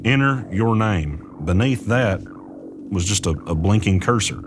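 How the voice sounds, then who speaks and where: An actor playing an uneasy man who recounts eerie events in measured, suspenseful first-person audio drama narration. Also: on the low side, animated, background noise. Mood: ominous suspense. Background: music.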